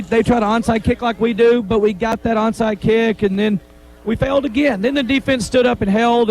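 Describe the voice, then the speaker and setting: High-voiced football coach, postgame. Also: male voice, darkish tone, low-quality recording. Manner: plain-spoken, rambling, repeats himself. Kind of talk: postgame interview. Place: on the field after a game.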